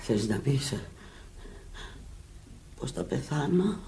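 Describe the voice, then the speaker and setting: An actress playing a gravely ill, stubborn, desperate woman in a radio play, halting and repeating her words. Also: extremely low pitch, lively intonation, dark tone, far from the mic, full character voice. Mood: weary, weak. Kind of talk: dramatic Greek radio play acting. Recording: echoey, noisy old radio drama recording.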